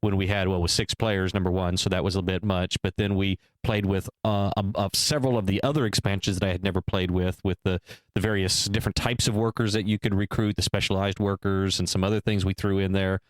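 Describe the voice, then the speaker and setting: Low, smooth-voiced man mulling things over. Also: little pitch movement, brisk, dry recording. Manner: hesitant, weighing both sides with many restarts. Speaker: podcast co-host. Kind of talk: casual discussion.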